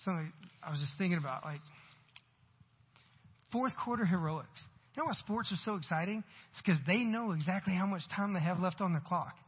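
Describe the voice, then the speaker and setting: A man in his forties preaches in a husky, fairly high voice, chatting with the congregation one moment and pushing hard on a line the next. His sermon rings with a long church-hall reverb. The recording sounds muffled and lo-fi. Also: brisk. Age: 40s. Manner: conversational preaching with rising emphatic bursts. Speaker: pastor. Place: church sanctuary.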